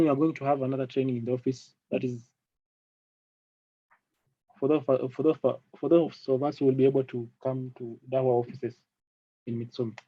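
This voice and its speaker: Male product trainer, Kenyan accent, halting and repetitive delivery, dry lo-fi call sound. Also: mid-range voice, warm tone, no room sound. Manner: halting, repeats phrases. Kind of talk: live online technical training. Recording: dull lo-fi online call audio.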